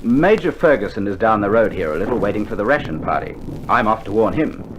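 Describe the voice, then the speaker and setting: Droll, low-voiced Aussie sergeant major. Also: male voice, very animated, fast talker, dull audio. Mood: businesslike. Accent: Australian. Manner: laconic, droll storytelling. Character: dry, seasoned Australian army sergeant major, unflappable yarn-spinner. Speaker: actor in a radio drama. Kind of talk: 1960s military radio play acting. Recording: slightly noisy old vinyl recording.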